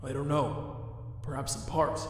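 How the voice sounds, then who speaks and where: A man voicing a weary, self-loathing skeleton scientist in a dramatic comic dub, speaking in formal, resigned, deliberate phrases. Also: medium pitch, fairly even intonation, a little hiss or hum, natural voice. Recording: echoey hall reverb under music.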